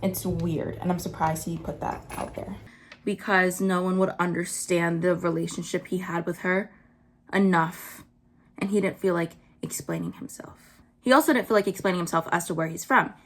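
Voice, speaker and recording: A woman's voice: steady tone, moderate pace. Blunt young woman vlogger. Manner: blunt, swears, many "like" fillers; sudden jumps up in pitch when worked up. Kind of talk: casual drama commentary.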